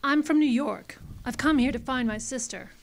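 Lady playing a worried damsel client in a detective radio play, highish voice, hesitant n pleading. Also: moderate pace. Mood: hesitant, nervous. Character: anxious, pleading well-mannered young female client. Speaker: actor. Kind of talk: hard-boiled radio drama acting.

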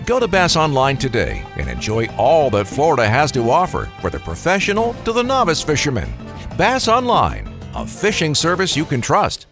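Announcer, male, very animated pitch, upbeat polished reads, guitar music underneath. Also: moderate pace. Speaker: show announcer. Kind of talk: scripted commercial voice-over.

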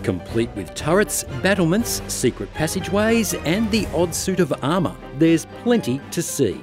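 A man's voice: fairly high pitch, big pitch swings, moderate pace, over music. Upbeat man narrating travel. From Australia. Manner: upbeat, playful wordplay. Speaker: travel show host.

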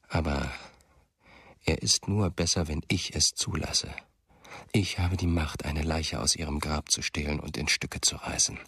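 A man's voice: extremely low pitch, vocal fry, a bit bright, breathy edge, dry recording, studio quality, full character voice. An actor playing a brooding, self-doubting male writer and storyteller in a German radio play.

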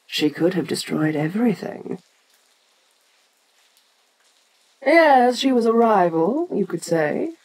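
A woman playing an ancient, domineering giant kaiju in an audio roleplay, haughty, deliberate and curious, talking down to a lesser creature. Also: medium pitch, darkish tone, heavily acted, low-quality recording. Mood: proud, grave.

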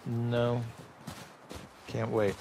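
A man's voice: mid-range voice, flat delivery, extremely slow, vocal fry, a little mellow, slight background noise. An actor playing a restless, guarded young man with a terse, understated way of talking in a video game. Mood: guarded, wry. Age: young adult. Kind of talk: naturalistic video game acting.

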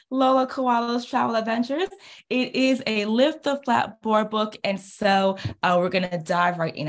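An American woman in her thirties speaking in an expressive, encouraging teacher manner, as if reading aloud to young children. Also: mid-range voice, vocal fry. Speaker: speech pathologist and children's author. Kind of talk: children's read-aloud with questions. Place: small indoor office, on a video call.

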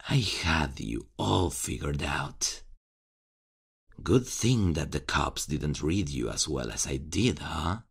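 A man doing a slow gravelly vigilante killer. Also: very deep voice, lively intonation. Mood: mocking. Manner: slow, teasing, quietly menacing. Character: calm, mocking vigilante killer who hides in the closet. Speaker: ASMR roleplay creator. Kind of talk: ASMR horror roleplay.

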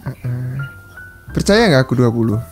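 A man's voice: medium pitch, lively intonation, measured pace, faint music behind. A young man in his twenties from Yogyakarta, chatting playfully and teasing strangers on a video call from his bedroom, laughing often. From Yogyakarta, Indonesia. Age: 20s. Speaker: video chat host. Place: small bedroom with posters. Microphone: webcam video call.

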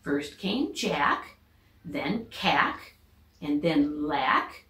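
A middle-aged American woman, a teacher, reading a children's storybook aloud with expressive narration. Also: sits low, extremely slow. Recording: echoey home room recording.

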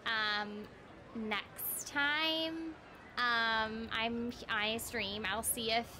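A woman's voice: high-pitched, slight background noise. A young woman chatting casually and playfully as she streams a video game. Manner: playful, animated. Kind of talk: casual live stream chat while playing. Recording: game music behind.

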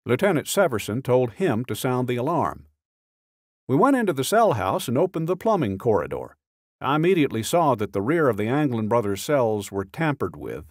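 A man reading a scripted true-crime audiobook in a measured, dramatic storytelling way, close to a studio microphone. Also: mid-range voice, no room sound. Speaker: audiobook narrator.

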